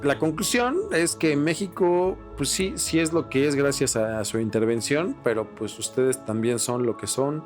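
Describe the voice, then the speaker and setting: A Mexican man co-hosting a casual podcast, with a middle-pitched voice and informal, opinionated talk full of slang. Soft guitar music plays behind him. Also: normal speed, few pauses. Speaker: podcast co-host.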